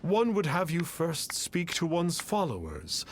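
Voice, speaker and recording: A man's voice: a little high, wildly swooping pitch, measured pace, a little hiss or hum. A man playing a pompous self-proclaimed immortal adept in a video game, speaking in grandiose archaic phrases. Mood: lofty, smug. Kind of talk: theatrical video game voice acting.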